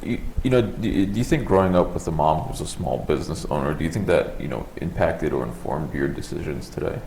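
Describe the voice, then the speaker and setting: A male reporter with a very deep, gravelly voice asks questions quickly, his intonation rising and falling freely. He stumbles and restarts as he talks. The room is echoey, with faint noise behind him. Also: expressive, a little mellow. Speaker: reporter interviewer. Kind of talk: conversational interview questions. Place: university room. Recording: roomy echo, faint background hum.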